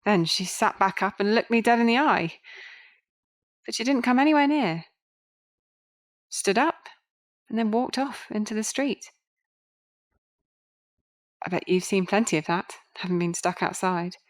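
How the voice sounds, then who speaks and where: A woman's voice: mid-range voice, animated, a little slow, pauses often, lightly acted. Proper nurse, acted by a woman. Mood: grimly matter-of-fact. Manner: matter-of-fact, proper, chatty. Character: brisk, kindly, proper Victorian London nurse, female. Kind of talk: audio drama acting.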